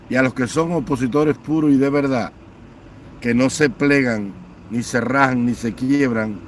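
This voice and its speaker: A middle-aged man with an ordinary mid-range voice hosts a livestream from home, greeting viewers one by one. His tone turns scornful and bitter as he piles up emphatic lists of complaints. A faint hum of noise sits behind him. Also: mid-range voice. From Cuba. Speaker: livestream host. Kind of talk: livestream chat, reading viewer comments and ranting. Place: home in Houston, Texas.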